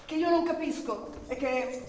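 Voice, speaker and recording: A woman's voice: high-pitched, unhurried, background noise. An actress playing an anxious, deferential, gossiping boarding-house landlady, hesitant and solicitous, in Italian radio theatre style. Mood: timid, solicitous. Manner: hesitant, solicitous, stammering repetitions. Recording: roomy, distant from mic, music behind.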